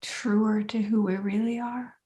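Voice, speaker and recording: A woman co-hosting a podcast, talking in a slow, thoughtful, reflective way and searching for words. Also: mid-range voice, steady tone, warm tone. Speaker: podcast co-host and coach. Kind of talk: reflective casual chat.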